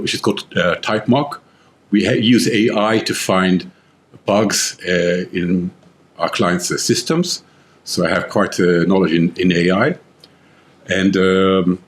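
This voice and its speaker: A middle-aged man from London with an Israeli-tinged English accent, a guest on a podcast interview, telling stories with lots of fillers and restarts. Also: fairly low pitch. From London, England. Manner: rambling storyteller, many fillers and restarts. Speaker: author and tech founder, guest.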